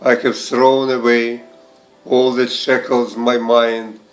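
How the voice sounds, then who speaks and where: A man reading verse aloud in a measured recitation, in short deliberate phrases set apart by pauses. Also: medium pitch, level tone, soft background music, rough recording. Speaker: poetry reader and translator. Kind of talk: slow, measured poetry recitation.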